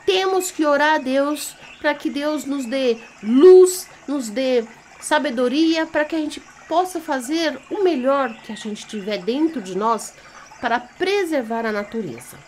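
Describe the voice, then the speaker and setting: A woman's voice: high-pitched, expressive, a little slow, a little mellow, a little hiss or hum. A woman with a Brazilian accent telling a children's story off the cuff, in a theatrical, teacherly way, checking in with young listeners. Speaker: children's storyteller and party entertainer.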